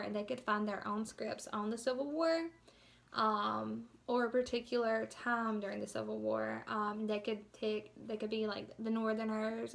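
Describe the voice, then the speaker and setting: A woman's voice: on the high side, gravelly. A young woman giving an informal instructional presentation as a student teacher, explaining things a little hesitantly.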